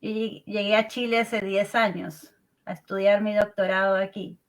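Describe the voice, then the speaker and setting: A woman in her thirties with a Peruvian accent, a marine researcher calmly explaining in an interview from home over a remote call, often ending phrases with a questioning tag. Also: medium pitch, normal speed, a crowd in the background. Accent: Peruvian Spanish. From Peru. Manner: calm, explanatory, many "eh" and "¿no?" tags.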